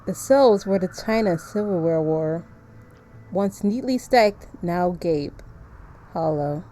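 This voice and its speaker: A woman's voice: lowish voice, animated, slow, dark tone, a little hiss or hum. A woman streaming a visual novel, chatting casually and reading the story text aloud.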